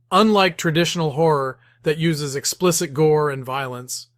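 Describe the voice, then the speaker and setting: Higher-pitched man narrating a scripted tutorial. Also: a bit bright, dry recording, clean studio sound. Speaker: host of writing tips series.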